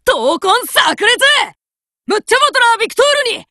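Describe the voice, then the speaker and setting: A woman voicing a brash, cocky young male card fighter who boasts and shouts battle chants, recorded as game voice lines. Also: extremely high pitch, very animated, moderate pace, dry recording, full character voice. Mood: fired up. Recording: dry studio recording.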